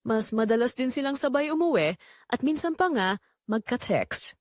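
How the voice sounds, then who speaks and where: A woman with a Filipino accent narrating a scripted romance story in an expressive, measured way with dramatic pauses. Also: fairly high pitch, no echo, clean studio sound.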